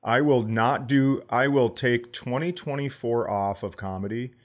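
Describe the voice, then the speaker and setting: Male comedian co-host, middle-aged, medium pitch, casual and emphatic banter. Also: unhurried.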